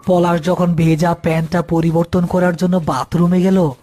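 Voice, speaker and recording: A man's voice: high voice, flat delivery, normal speed, warm tone, soft background music. A man narrating a scripted horror folk tale as storyteller.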